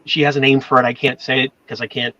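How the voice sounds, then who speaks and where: A man's voice: medium pitch, flat delivery, fast talker, sharp tone, no room sound. A man with a Boston accent, chatting loosely and stumbling over his words. The sound is dry and a bit dull, like a cheap mic close in a small room. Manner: rambling, full of fillers and self-corrections. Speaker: channel moderator. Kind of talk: casual chat on a livestream.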